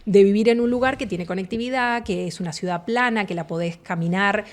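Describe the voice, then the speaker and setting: A woman's voice: mid-range voice, fairly quick, background noise. A woman from Argentina with an Argentine accent, a relocation agent giving a fluent, explanatory radio interview. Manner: fluent, explanatory, animated asides.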